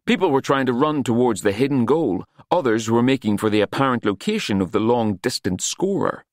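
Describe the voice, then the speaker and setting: A man's voice: medium pitch, animated, fairly quick, no echo, studio quality. A man reading scripted fiction as an audiobook narrator, in a dramatic storytelling style.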